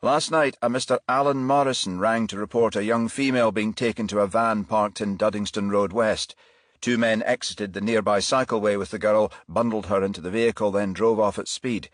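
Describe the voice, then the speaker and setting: A man voicing a businesslike senior police chief inspector with a measured, official manner in an audiobook. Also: fairly low pitch, steady tone, no room sound, clean studio sound, close to an everyday voice. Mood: grave, businesslike. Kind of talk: audiobook character voice.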